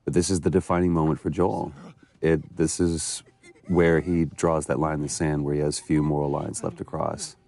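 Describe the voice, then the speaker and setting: A man's voice: rumbling low, level tone, moderate pace, gravelly, mellow. A man giving casual, reflective commentary as an actor, earnest and emphatic in how he talks.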